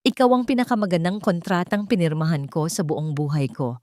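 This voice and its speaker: A woman's voice: lowish voice, a little fast, mellow, no echo, clean studio sound, close to an everyday voice. A woman voicing an earnest young man in love in an audio love story, tender and sincere.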